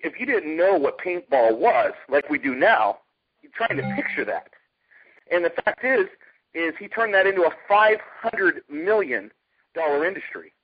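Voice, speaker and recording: Husky American man on a muffled conference call. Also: on the high side. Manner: emphatic storyteller, uses rhetorical questions. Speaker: network marketer pitching a company. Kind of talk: informal sales pitch on a conference call. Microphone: telephone conference call. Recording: muffled, dull conference phone line.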